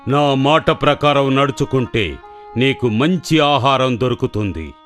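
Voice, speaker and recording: A man plays a self-assured lion master in a children's cartoon. He speaks slowly and with authority, faint music behind him. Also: mid-range voice, fairly bright tone, natural voice. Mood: commanding.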